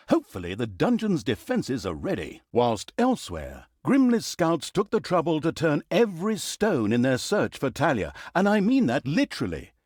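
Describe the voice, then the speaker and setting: A man's voice: medium pitch, a little mellow, slightly rough, dry recording, studio quality. A man playing a sardonic, vain storyteller who narrates a comic fantasy game saga in a wry, mock-grand theatrical manner. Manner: wry, mock-grand, dramatic emphasis and asides.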